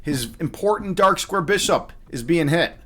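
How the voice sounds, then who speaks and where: A man's voice: a little high, animated, normal speed, a bit bright. A man giving casual live commentary on his own game as a streamer, muttering half-finished thoughts to himself as he thinks aloud.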